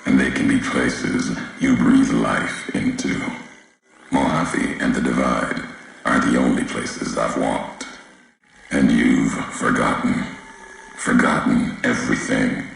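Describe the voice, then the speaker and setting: An actor playing a grave, brooding wasteland wanderer in a video game, solemn and cryptic, speaking in clipped sentences with a gravelly voice. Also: male voice, extremely low pitch, fairly even intonation, takes it slowly, full character voice. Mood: solemn, reproachful. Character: grave, brooding male wasteland wanderer, bitter about history. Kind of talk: dramatic scripted game dialogue. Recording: heavy echo, faint background noise.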